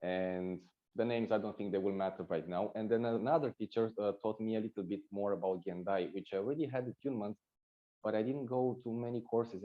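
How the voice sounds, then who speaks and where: Deep-voiced Romanian man, hesitant. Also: narrow, tinny audio. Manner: hesitant, searching for words, many fillers and restarts. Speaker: Reiki teacher, guest. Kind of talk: casual interview answers.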